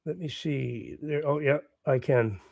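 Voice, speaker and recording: A man with a hoarse voice from a recent bout of laryngitis, hosting a casual livestream and chatting quietly and encouragingly with his viewers. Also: mid-range voice, unhurried, no echo. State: hoarse, recovering lost voice. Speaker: livestream host. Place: at home with morning coffee.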